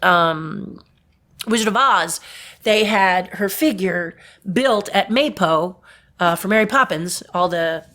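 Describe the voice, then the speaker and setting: A middle-aged woman from Southern California with a deep voice, chatting about her puppetry work in an interview and laughing at her own stories. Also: slow pace, bright, forceful tone. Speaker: puppeteer guest. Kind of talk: casual interview storytelling.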